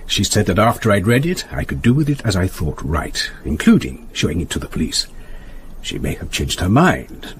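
A grave, gentle parish priest with a British accent. His voice is very deep and moves expressively, courteous yet firm, as heard in a radio play. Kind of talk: British radio drama acting. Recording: noisy radio drama with ambience.

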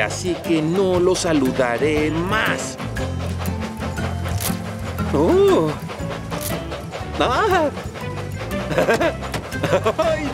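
A sulky little cartoon steam train with a high Latin American voice. He grumbles indignantly and takes his words very slowly, over a music bed. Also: heavily acted. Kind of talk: children's cartoon acting.

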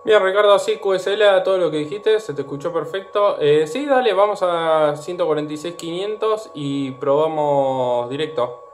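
A man's voice: on the high side, expressive, normal speed, hardly any pauses. A man with a Buenos Aires Argentine accent, an amateur radio operator talking casually and using phonetic call signs. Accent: Argentine, Buenos Aires area. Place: indoors at a desk radio set. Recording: dull sound with clear background noise.

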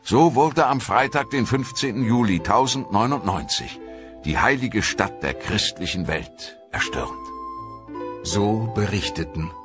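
A man reading a scripted historical documentary narration in a solemn, measured storytelling manner. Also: on the low side, lively intonation, over music.

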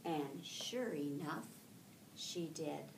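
A middle-aged woman, a teacher reading a picture book aloud to children in an expressive, deliberate storytelling way, with drawn-out words. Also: vocal fry. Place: school classroom, indoors. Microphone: camera a few feet away. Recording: echoey room, distant mic, faint rumbling noise.

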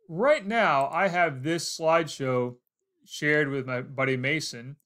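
A man's voice: a little high, a bit bright. An American man in his forties narrating a casual instructional screencast, explaining things step by step. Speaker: tutorial host.

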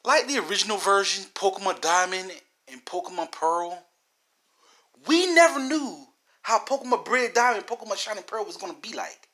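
A man's voice: high voice, lively intonation, fairly quick, sharp tone. A man giving rambling video game commentary in a theatrical manner, repeating phrases and talking straight at the viewers.

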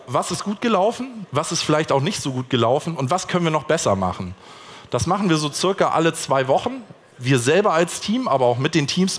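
A man in his thirties from Germany, giving a conversational conference talk on stage with a lot of fillers and restarts. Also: on the high side. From Hamburg, Germany. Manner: gestures while walking the stage. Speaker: conference speaker. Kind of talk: conference keynote presentation. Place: conference stage in a large hall. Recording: long hall reverb.

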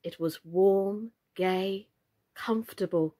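A woman in her thirties reading a children's story expressively, in a measured and deliberate way. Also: medium pitch, mellow. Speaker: storyteller, actress. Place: small furnished room indoors.

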